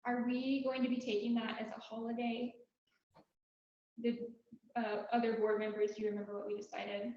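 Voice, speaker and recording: A soft-spoken woman with a slightly high voice runs a club meeting in a relaxed, friendly way. She sounds like she is in a very echoey room, and the recording is dull. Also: moderate pace. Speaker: club president running a meeting. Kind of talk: casual meeting opening.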